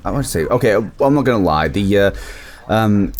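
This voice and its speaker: A man's voice: fairly low pitch, animated, moderate pace. A man chatting casually as a voice actor guest, with a modest, earnest, informal way of talking. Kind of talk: casual interview chat.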